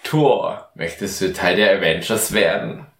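A man's voice: low-pitched, a little slow, gravelly, fairly bright tone, reverberant, heavily acted. A man playing a grave, seductive superhero spy chief in an improvised parody audio play, speaking solemnly and portentously.

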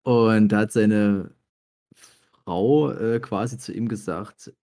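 A man with a husky voice, chatting casually in a halting, self-deprecating way as he searches for words. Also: on the low side, steady tone, warm tone. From Germany. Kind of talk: casual anecdotal chat among friends.

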